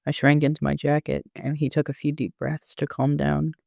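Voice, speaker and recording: A man narrating a first-person story like an amateur audiobook reader, with an even storytelling delivery. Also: fairly high pitch, normal speed, gravelly, no echo, clean studio sound.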